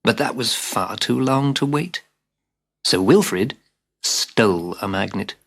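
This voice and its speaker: A man narrating a scripted children's story as a dramatic, expressive storyteller who drops into hushed asides. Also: fairly low pitch, takes it slowly, husky. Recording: hissy old cassette tape.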